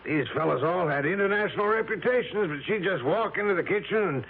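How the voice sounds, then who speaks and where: A man's voice: high-pitched, expressive, fairly quick, gravelly. An actor playing a wealthy, jovial older father with a ceremonious, self-satisfied manner in a 1970s radio drama. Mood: jovial, savoring. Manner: chuckling, ceremonious. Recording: tinny, noisy 1970s radio broadcast.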